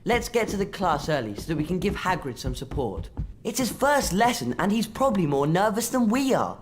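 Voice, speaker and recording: A man's voice: high voice, sing-song, fairly quick, slight background noise. A young man playing a friendly, frank schoolboy wizard in a video game, with a chatty manner. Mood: reassuring. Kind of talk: video game character acting.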